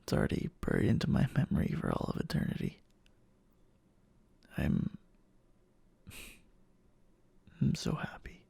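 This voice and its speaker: A man playing a clingy, obsessive, lovestruck boyfriend in an intimate ASMR roleplay, speaking in a halting, tender way with a breathy voice that often drops near a whisper. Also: medium pitch, huge pitch swings, dark tone, dry recording, heavily acted. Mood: overjoyed, adoring. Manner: halting, pleading, tender endearments.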